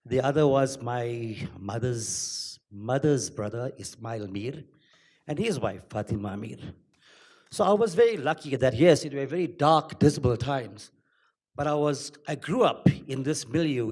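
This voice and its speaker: A middle-aged man sharing personal reflections at a book launch, speaking hesitantly with many pauses. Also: mid-range voice, darkish tone. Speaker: audience member sharing a reflection. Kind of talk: unscripted remarks at a book launch.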